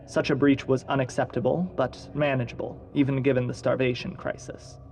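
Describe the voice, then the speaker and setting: An actor playing a solemn investigator who narrates a secret agency's audio log in a formal, measured, creaky voice. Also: male voice, mid-range voice, little pitch movement, a little mellow, soft background music, close to an everyday voice. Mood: grave, clinical.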